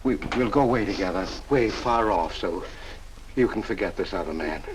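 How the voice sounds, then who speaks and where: An actor playing a meek, lovesick middle-aged man, earnest and halting, in mid-century American film melodrama style. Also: low-pitched, lively intonation, noisy background. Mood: tender, pleading.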